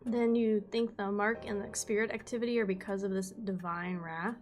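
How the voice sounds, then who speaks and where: A woman's voice: medium pitch, fairly even intonation, moderate pace, soft background music, lightly acted. A woman voicing a confident young female reporter who speaks eagerly and asks a lot of questions.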